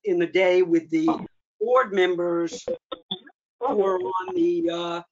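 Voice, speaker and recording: A man hosting an online meeting, giving informal updates in a halting way with frequent pauses mid-sentence. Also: high voice, steady tone, noisy background. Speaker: meeting host, association official giving updates. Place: remote video meeting. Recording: dull lo-fi online meeting audio.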